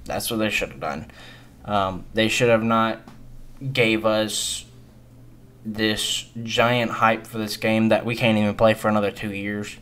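A young man in his early twenties with a fairly low voice talks off the cuff as a gaming video creator. He rambles and hesitates, sounding resigned as he gives his casual reaction. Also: steady tone, measured pace, a bit bright, clean tone. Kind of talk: casual unscripted news reaction commentary.

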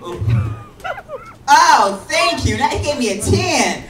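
A man's voice: extremely high pitch, lively intonation, slow pace. A man working as a drag performer, hosting a live show with sassy, campy, playful banter to the audience. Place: small dark bar with stage, indoors. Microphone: handheld stage mic through speakers, filmed from audience. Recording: roomy, distant camera audio, loud crowd noise.

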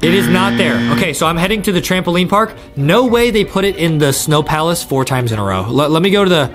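A young man with a fairly high voice gives excited let's-play commentary. He is animated and repeats himself as he addresses viewers. Light game music plays behind him. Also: quick pace. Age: young adult. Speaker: gaming video host.

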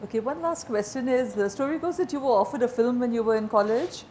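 A woman's voice: a little high, fast talker, darkish tone, slight background noise. A middle-aged woman, asking questions conversationally as an interview host. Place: indoor lounge, seated.

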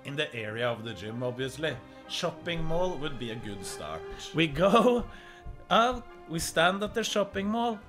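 A man's voice: a little high, big pitch swings, a little slow, a bit bright, soft background music. A man in his thirties with a Norwegian accent, chatting playfully and laughing often. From Norway. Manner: playful, laughing often, jokey salesman bits. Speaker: streamer. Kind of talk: casual chat. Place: indoor room and car.

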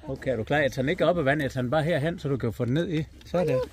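A Danish man chatting casually and jokingly, giving a running commentary, picked up by a handheld phone out on an open boat. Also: fairly high pitch. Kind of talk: casual chat while fishing. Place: open motorboat at sea. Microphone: someone else's handheld phone. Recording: noisy outdoor handheld phone recording.

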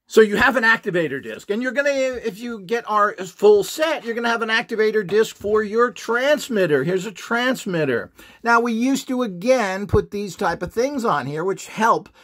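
A man's voice: sits high, expressive, normal speed, fairly bright tone. A middle-aged man demonstrating devices on a tabletop, talking in an opinionated, dismissive, boastful way. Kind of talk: casual product demonstration. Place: indoor desk, hands-on table demo.

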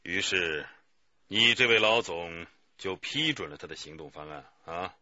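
An actor playing a stern, sarcastic police interrogator in a radio drama, mocking and pressing. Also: male voice, deep voice, measured pace, bright, forceful tone, dry recording, full character voice. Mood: sardonic. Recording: dry, muffled broadcast.

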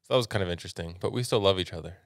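A young American man with a low voice makes casual conversation as a podcast co-host. He is close on the microphone, and the small studio sounds dry. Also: very fast, gravelly, dry recording. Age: young adult. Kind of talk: casual chat. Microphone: close studio mic on boom arm, headphones.

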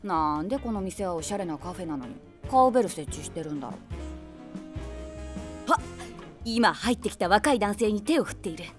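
Animated, wry middle-aged woman narrator played by an actress. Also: medium pitch, a little fast, pauses often, music in the background, close to an everyday voice. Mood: curious, observing. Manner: wry, self-deprecating, conversational. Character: wry 46-year-old woman, eavesdropping daydreamer. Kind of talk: first-person audio drama narration.

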